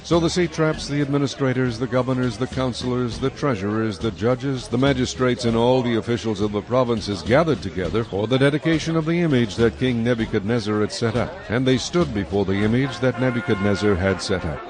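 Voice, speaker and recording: A man's voice: mid-range voice, brisk, few pauses, a crowd in the background. A man narrating scripture from a script as the narrator of a dramatized reading.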